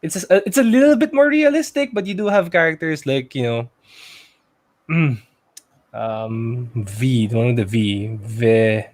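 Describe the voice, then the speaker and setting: A man with a Filipino accent co-hosting a casual podcast, talking loosely and thinking aloud with pauses. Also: medium pitch, expressive, darkish tone. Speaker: podcast co-host. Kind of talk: casual pop culture podcast chat.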